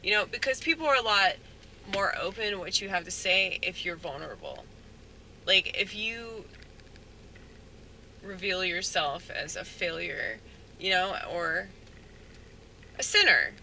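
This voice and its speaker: A man rambling in a soft-spoken, halting way, full of fillers, as a stand-up comedian musing aloud. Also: high voice, lively intonation, creaky, a little hiss or hum, rough recording. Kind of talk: rambling casual monologue.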